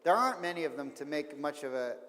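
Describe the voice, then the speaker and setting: A man's voice: on the high side, expressive, fast talker, fairly bright tone, big-room sound. A man, a rabbi, giving a casual, frank talk to an audience and often repeating words as he thinks. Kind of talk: casual lecture with audience questions.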